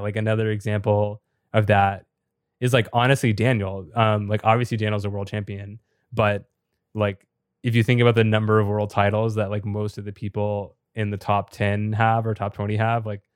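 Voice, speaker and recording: A slightly raspy, low-voiced man who is a bit sick and losing his voice. He explains at length in a chatty, filler-heavy podcast style. His delivery stays flat, with very little rise and fall. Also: a little fast, no room sound, studio quality. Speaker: podcast co-host and top competitive player.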